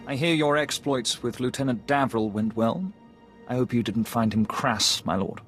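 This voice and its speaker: A man's voice: medium pitch, moderate pace, a bit bright, soft background music, lightly acted. A man playing a refined, calculating, coolly menacing military officer in a video game, speaking precisely and formally. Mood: haughty. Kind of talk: scripted game cutscene dialogue.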